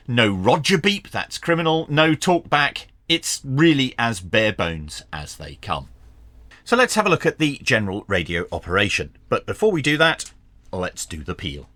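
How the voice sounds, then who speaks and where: A man's voice: mid-range voice, very animated, moderate pace, pushed and bright, no echo. A man narrating a product review to viewers in a matter-of-fact, explanatory way. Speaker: reviewer and channel host.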